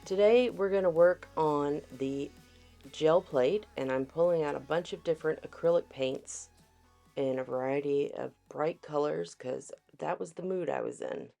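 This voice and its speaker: Craft vlog host, a woman with a stuffy nose, very low and gravelly, easygoing tutorial voiceover. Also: measured pace, soft background music. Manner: relaxed, chatty, frequent ums. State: congested. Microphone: voiceover mic.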